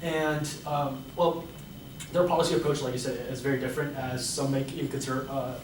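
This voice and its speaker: A man's voice: mid-range voice, level tone, brisk. Hesitant male interviewer, roomy sound. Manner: hesitant, many fillers. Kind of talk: interview questions. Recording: echoey room, mic far off, noisy.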